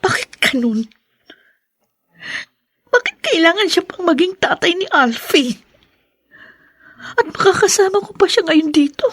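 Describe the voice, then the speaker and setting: High-voiced, emotional young wife. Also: female voice, big pitch swings, a little slow, pauses often, a bit bright, no echo, clean studio sound, exaggerated voice. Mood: bitter, anguished. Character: troubled young wife, bitter and tearful, hiding old pain. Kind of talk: Tagalog radio drama acting.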